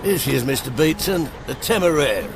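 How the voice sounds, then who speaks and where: A man's voice: fairly high pitch, lively intonation, brisk, vocal fry, music in the background. A man playing a deferential working tug captain, terse and matter-of-fact, in an audio drama. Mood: dutiful, matter-of-fact.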